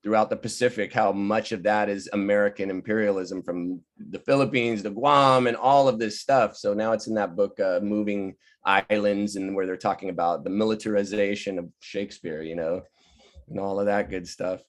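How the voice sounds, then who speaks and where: Man chatting and telling stories, animated. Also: fairly low pitch, normal speed. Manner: animated, laughing often, acting out quoted people. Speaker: playwright and director leading a virtual table read. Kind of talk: casual, anecdotal storytelling chat. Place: online video call. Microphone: video call microphone.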